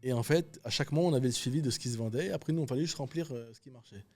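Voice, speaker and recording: Male podcast guest, French accent, mid-pitched voice, lively anecdote-driven talker. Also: rapid-fire. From Canada. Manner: animated storytelling, loose and slangy. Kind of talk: casual interview talk.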